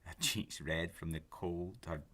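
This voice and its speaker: An actor playing an obsessive, pleading, lonely lovesick man in an audio drama, halting and intense as he justifies himself. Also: sits low, bright, forceful tone, exaggerated voice. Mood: subdued, earnest. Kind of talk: naturalistic audio drama acting.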